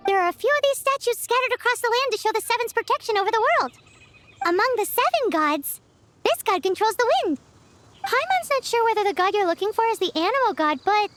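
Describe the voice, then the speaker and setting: A woman voicing a tiny, childlike, eager fairy companion in a game, perky and squeaky. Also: very animated, a little fast, background noise. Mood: chirpy, eager. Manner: perky, speaks of herself in third person. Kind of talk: animated game character dialogue. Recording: music behind the voice.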